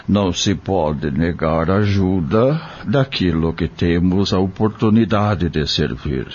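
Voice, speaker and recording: A man playing a kind, devout country doctor in an audio drama, speaking solemnly and gently, like a fatherly preacher. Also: low-pitched, slightly rough, lo-fi sound, exaggerated voice.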